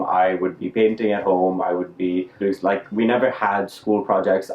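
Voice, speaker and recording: Young male vlogger, low voice, casual personal storytelling, roomy sound with music underneath. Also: darkish tone. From Dubai. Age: young adult. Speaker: YouTube art vlogger. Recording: roomy echo, music behind.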